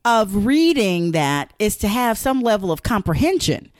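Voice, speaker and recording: A woman's voice: mid-range voice, sing-song, normal speed, no echo, clean studio sound. A woman speaking in an earnest, explanatory way on a podcast, repeating points for emphasis. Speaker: guest, counselor. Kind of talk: podcast conversation.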